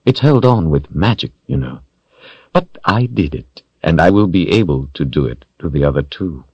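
A man voicing a smug, villainous sorcerer in an audiobook, taunting and deliberate, with theatrical mock courtesy. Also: rumbling low, lively intonation, a little mellow, husky, no echo, lo-fi sound, full character voice. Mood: boastful. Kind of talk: audiobook character voice.

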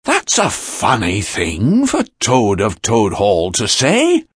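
A dazed, wry man, voiced by a man in an audiobook, drawling slowly in a very raspy voice that swoops wildly in pitch. He mutters his words. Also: huge pitch swings, no room sound, studio quality, heavily acted. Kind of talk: audiobook character voice.

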